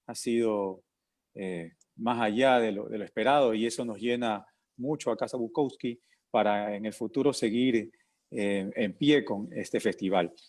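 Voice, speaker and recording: A man from Ecuador moderating a panel, speaking in a formal, measured way with some fillers. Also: on the low side, a little mellow. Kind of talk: hosting a panel.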